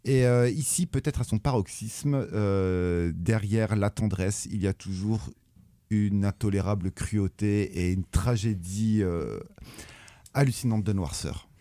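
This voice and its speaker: A man on a film podcast, earnest and measured when praising a favorite director. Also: on the low side, warm tone, no room sound, clean studio sound. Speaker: podcast co-host. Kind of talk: casual film review chat.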